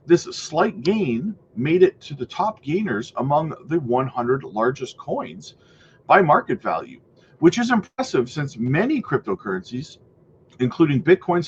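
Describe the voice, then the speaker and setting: A man's voice: fairly high pitch, lo-fi sound, slight background noise. A man with a stuffy nose from a cold, hosting a crypto news channel in a casual, talkative way, reading articles aloud and breaking in with exasperated asides to "guys.". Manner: exasperated asides, frequent "guys", halting restarts.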